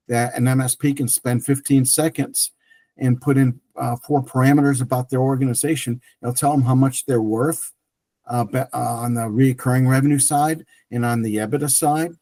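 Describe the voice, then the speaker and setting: A man's voice: mid-range voice, fairly even intonation, moderate pace, darkish tone, no echo. A middle-aged man whose first language is French, telling his career story as an interview guest with many restarts and fillers. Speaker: guest, tech entrepreneur.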